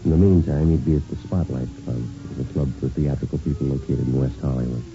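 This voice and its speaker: A very low, dark-voiced American police detective narrates his case in old radio drama style. He talks quickly and flatly, all business, through a dull vintage recording. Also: soft background music. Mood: matter-of-fact. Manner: clipped, deadpan, matter-of-fact.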